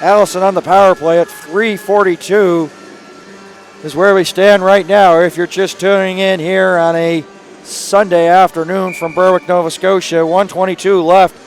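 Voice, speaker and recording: A male play-by-play announcer with a raspy, hoarse voice calling a live hockey game from an arena booth, quick and rising to excited calls on scoring chances. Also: sits high, faint music behind. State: hoarse from days of calling games.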